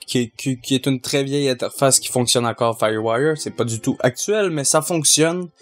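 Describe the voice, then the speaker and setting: A man who handles audio for a fan dub, giving a casual, hesitant answer full of pauses. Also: medium pitch, faint music behind. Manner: rambling, hesitant, technical. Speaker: fandub audio engineer.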